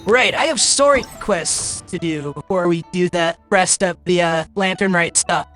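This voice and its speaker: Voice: medium pitch, measured pace, fairly bright tone. Grumbling game streamer with music behind. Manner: exasperated, grumbling, blunt. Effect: possible voice changer. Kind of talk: casual livestream gaming commentary.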